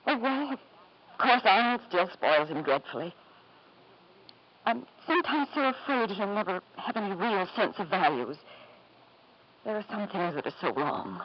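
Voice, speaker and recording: A genteel society wife and mother in an old radio drama. She is refined, earnest and anxious, with a very lively rise and fall in her voice. The broadcast sounds muffled and slightly noisy. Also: mid-range voice, measured pace, long pauses. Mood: worried, defensive. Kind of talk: 1950s radio drama acting.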